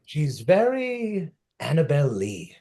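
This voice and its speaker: A man acting a melancholy, dry-witted man who has been dead over a century, in a deliberate, brooding table-read delivery. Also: on the high side, wildly swooping pitch, mellow, exaggerated voice. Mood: dry, teasing.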